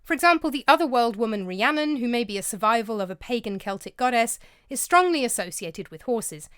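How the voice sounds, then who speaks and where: Female vlog narrator, scripted and scholarly, bright lilting delivery, bone-dry studio read. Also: a little high, animated, a little fast, smooth, no echo. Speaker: vlogger and narrator. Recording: dry close-mic voice-over.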